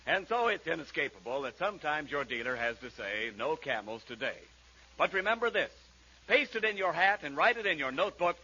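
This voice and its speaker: A man's voice: a little high, very animated, brisk, sharp tone, slightly rough. A man delivering a polished, emphatic radio advertisement in 1940s announcer style. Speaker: radio announcer, sponsor pitchman. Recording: dull 1940s radio recording.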